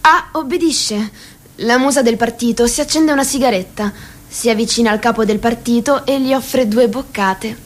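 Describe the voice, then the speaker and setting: A woman's voice: fairly high pitch, normal speed. A woman giving scripted dramatic narration in a radio play. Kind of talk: scripted dramatic narration, also voicing characters' lines.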